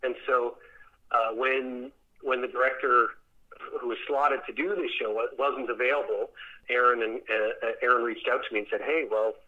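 A man speaking as a theatre director in a conversational interview, reflective and halting, often repeating words as he thinks. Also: medium pitch, steady tone, phone-quality sound.

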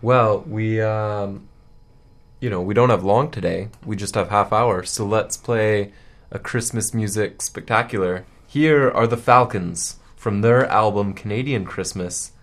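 Very deep-voiced, unhurried woman co-host. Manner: chirpy, playful banter. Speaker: radio co-host. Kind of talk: casual morning radio chat. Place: campus radio studio.

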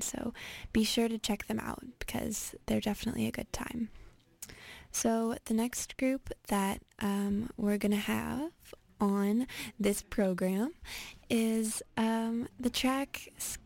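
A woman radio host introduces the next songs in an unhurried, halting way, pausing on fillers and starting over. Her voice sits a little high and has a gravelly, creaky edge. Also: a little mellow. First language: English. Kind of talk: casual radio track introductions.

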